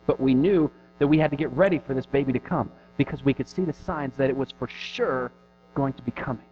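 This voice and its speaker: A man in his thirties preaching a sermon in an earnest, conversational way, pushing hard on some phrases and dropping to a hush on others. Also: medium pitch, a little fast. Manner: earnest, conversational preaching with rising and falling emphasis. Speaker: pastor. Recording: dull lo-fi recording with faint music.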